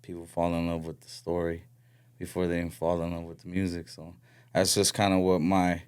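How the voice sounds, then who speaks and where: A young man from Florida talks in a deep, resonant voice. He chats as a podcast guest in a soft, hesitant way, with plenty of fillers. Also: completely flat delivery, brisk, clean tone. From Florida, raised in Arizona. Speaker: guest musician. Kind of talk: casual podcast chat.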